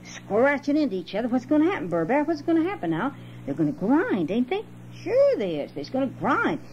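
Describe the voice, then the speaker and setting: A woman's voice: high voice, wildly swooping pitch, a little fast, a little mellow, voice pushed up. A woman voicing a cheerful, sly male trickster rabbit in a storybook, with folksy, persuasive dialect, on a home cassette recording. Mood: excited, persuading. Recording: dull, noisy home cassette with hum.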